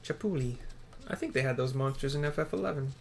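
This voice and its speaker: A man's voice: medium pitch, fast, vocal fry. A man giving casual game commentary, rambling and muttering to himself as he plays. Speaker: gaming streamer. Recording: faint noise with keyboard typing.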